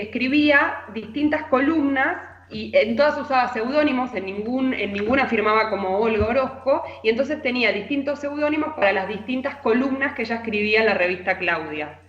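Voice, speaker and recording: A woman's voice: fairly high pitch, a bit bright. Argentine woman in echoey, slightly dull audio. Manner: chatty, informal. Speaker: literary columnist. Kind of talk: conversational radio column telling anecdotes.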